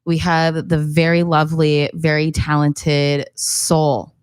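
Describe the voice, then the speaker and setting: A woman's voice: sits low, completely flat delivery, takes it slowly, clear, resonant tone, no room sound, clean studio sound. A woman co-hosting a radio show, upbeat and encouraging as she asks questions. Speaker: radio co-host. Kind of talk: casual interview chat.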